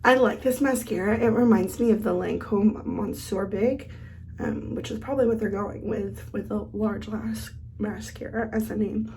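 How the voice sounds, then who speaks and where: Woman, beauty vlogger, sick, hoarse, gravelly fry, darker mellow tone, casual makeup chat. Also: medium pitch, moderate pace, a little hiss or hum, rough recording. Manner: chatty, thinks aloud while applying makeup. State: sick and losing her voice.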